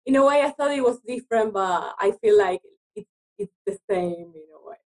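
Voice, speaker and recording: A woman's voice: mid-range voice, animated, moderate pace, darkish tone, poor audio. A woman from Argentina with an Argentine accent and Spanish as her first language, speaking hesitant English and repeating words as an interview guest. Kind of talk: conversational interview.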